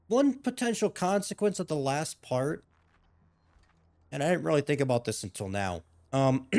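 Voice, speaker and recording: A man's voice: mid-range voice, darkish tone. Slightly ill man rambling over gameplay. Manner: rambling, hesitant, with frequent restarts and fillers. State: a little ill, losing his voice. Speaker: gaming YouTuber. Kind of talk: casual playthrough commentary.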